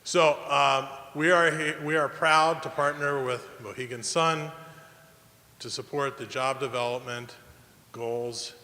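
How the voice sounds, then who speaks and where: A man's voice: mid-range voice, fairly even intonation, measured pace, sharp tone. A man representing a college, giving earnest prepared testimony at a public hearing with small restarts. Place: large public hearing hall. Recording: long hall reverb, faint ambience.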